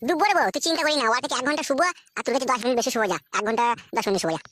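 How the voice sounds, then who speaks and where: Someone voicing a high, hurried cartoon village husband. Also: expressive, pushed and bright, heavily acted. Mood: coaxing. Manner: blustering, coaxing, crude. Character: exasperated, crude village husband caught between two wives. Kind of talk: comedy cartoon dubbing.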